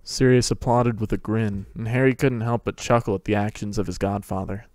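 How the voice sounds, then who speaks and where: A man's voice: on the low side, steady tone, a little fast, a little mellow, no room sound. A man reading scripted third-person narration for a story.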